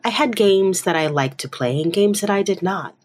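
Podcast co-host: woman with a raspy, slightly low voice and animated pitch, direct and expressive storyteller. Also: normal speed. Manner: direct, expressive, acts out quoted dialogue. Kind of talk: casual personal storytelling.

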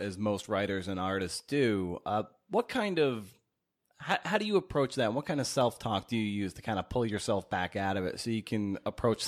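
A man speaking casually and conversationally as a podcast host interviewing a guest. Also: lowish voice.

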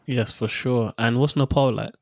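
A man hosting a podcast interview, reading a steady introduction and asking questions. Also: mid-range voice, normal speed, no room sound. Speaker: podcast host. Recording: music under the voice.

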